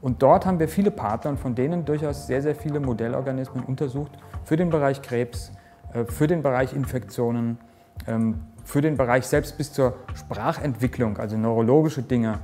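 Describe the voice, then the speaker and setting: A man's voice: medium pitch, moderate pace, warm tone, soft background music. A man in his forties, a research director, explaining his work in an interview with earnest restarts and fillers, recorded in an office on a lapel mic. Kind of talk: interview statement. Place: office with microscope, indoors.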